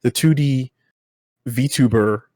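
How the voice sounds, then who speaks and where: A man hosting a casual chat, rambling with many halting fillers. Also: medium pitch, mellow, dry recording, studio quality. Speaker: podcast host.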